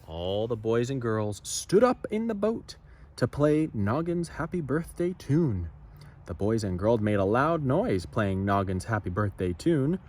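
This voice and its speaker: Hugely animated man reading a children's story. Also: mid-range voice. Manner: expressive, dramatic pauses. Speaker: storybook reader. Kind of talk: children's story read-aloud with asides.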